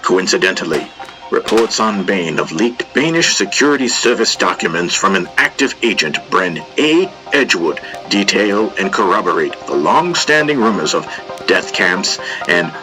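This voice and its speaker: A man playing a formal, measured news broadcaster reading a scripted bulletin. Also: low voice, very animated, music in the background, low-quality recording. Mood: grave, formal. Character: formal male news broadcaster reporting a rescue.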